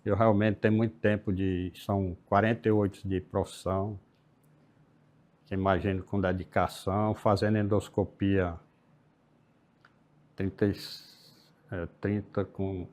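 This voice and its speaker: A man, talking as a guest. His voice sits fairly low, and he speaks in an unhurried, reflective way. His sentences often trail off softly. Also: little pitch movement. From Piauí, Brazil. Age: 60s. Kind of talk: casual interview.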